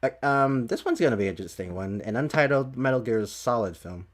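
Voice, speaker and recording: A man's voice: mid-range voice, expressive, brisk. A man hosting a casual podcast chat, talking hesitantly and trailing off as he works through topics. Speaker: podcast host.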